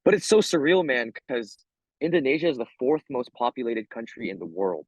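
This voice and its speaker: A man's voice: medium pitch, very animated, a little fast, no room sound. An American man, a fighter being interviewed, chatting casually in slang and often repeating himself. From Hawaii, USA.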